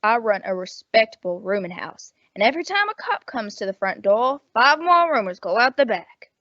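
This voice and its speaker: A gruff, suspicious landlady played by a woman talks in blunt, wisecracking slang. Her voice is on the high side, and the sound is dry and somewhat dull, in the manner of an old radio detective play. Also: very animated, moderate pace, no echo. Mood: suspicious, hostile. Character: gruff, suspicious, mercenary landlady of a rooming house. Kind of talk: old-time radio detective drama acting.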